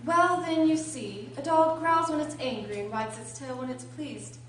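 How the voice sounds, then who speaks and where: A woman's voice: high-pitched, normal speed, fairly bright tone. A woman playing a mad, grinning cat who talks in teasing, matter-of-fact riddles in a storybook radio drama. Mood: playfully mad. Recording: echoey room, distant mic, faint noise.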